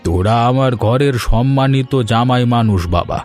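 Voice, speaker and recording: A man's voice: mid-range voice, normal speed, a little mellow, natural voice. A man playing an old Bengali village father-in-law, music underneath. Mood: gently protesting. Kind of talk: animated folk story dialogue.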